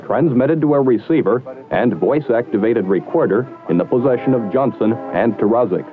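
A man reading scripted narration for a 1960s training film in a formal, measured, slightly wry manner. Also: mid-range voice, music in the background. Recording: muffled old 1960s film soundtrack.

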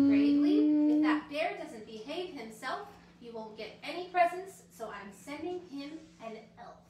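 A woman in her thirties reading a children's picture book aloud to a small audience, a lively storyteller. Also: sits high. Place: hotel lobby with Christmas tree and seated guests. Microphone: camera across the room. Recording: roomy echo, voice from a distance.

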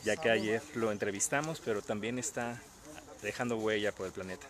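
A man's voice: on the low side, steady tone. A man hosting casual interviews outdoors, upbeat and encouraging. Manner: upbeat, encouraging, quick affirmations. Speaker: host and interviewer. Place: outdoor park. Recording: crowd chatter behind.

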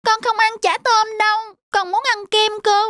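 High-pitched, pleading little boy. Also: level tone, normal speed, bright, forceful tone, no echo. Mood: whiny, demanding. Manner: whiny, childlike pleading. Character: small stubborn, emotional young boy. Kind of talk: cartoon voice acting.

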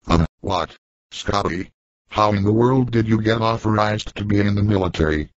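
A man's voice: on the low side, normal speed, no echo, close to an everyday voice. A text-to-speech man's voice playing a formal, somewhat nervous male robot hero. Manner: stiff, formal machine reading. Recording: dry lo-fi synthesized audio.

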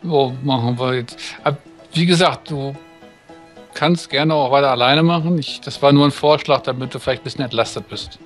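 A man with a medium-pitched voice co-hosts a let's play in a casual way. He translates game text haltingly, pausing mid-sentence, with faint music behind him. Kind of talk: casual translating of visual novel text and chat.

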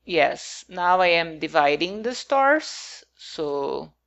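A woman's voice: lowish voice, very animated, takes it slowly, fairly bright tone, highs cut off. A woman whose first language is not English, talking casually over her game build in a hesitant, rambling way with many fillers.

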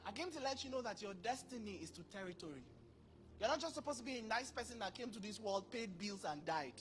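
A man in his thirties preaching a sermon into a handheld mic on a large church stage, acting out dialogue with sudden bursts of loudness. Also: high voice, fast, faint music behind. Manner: animated, acts out dialogue, bursts of volume. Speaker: pastor.

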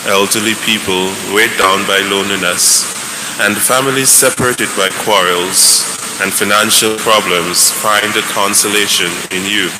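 Low-voiced man reading intercessions. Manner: steady, measured reading. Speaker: lector reading prayers of the faithful. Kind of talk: liturgical reading. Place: large church. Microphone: stand mic at lectern. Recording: echoey church with background noise.

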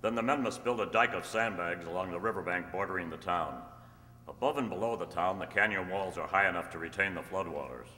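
Man acting a low-voiced masked cowboy hero. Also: a little fast, bright, forceful tone. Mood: firm. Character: resolute masked cowboy hero, earnest and commanding. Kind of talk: old-time radio drama acting, read from script. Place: stage in a hall. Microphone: stand microphone. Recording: echoey hall, noisy room ambience.

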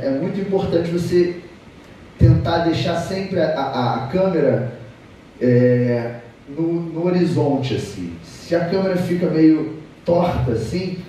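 A Brazilian man in his thirties giving an informal technical talk, explaining haltingly with repeated words. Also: on the high side, dark tone. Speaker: conference speaker. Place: auditorium stage, seated at a table. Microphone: distant camera in the audience. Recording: echoey hall, distant mic, background noise.

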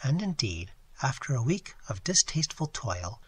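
A man voicing a distressed young lady in a read-aloud story, speaking in a low, beseeching murmur. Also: very animated, normal speed, husky, no echo. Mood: earnest. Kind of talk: audiobook character reading.

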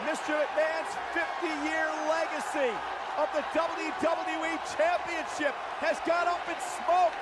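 An excitable wrestling play-by-play announcer for a video game. The voice rides very high and emphatic, pushing through loud noise and a huge arena crowd. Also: male voice, unhurried. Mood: stunned, urgent. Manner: urgent, exclamatory, emphatic.

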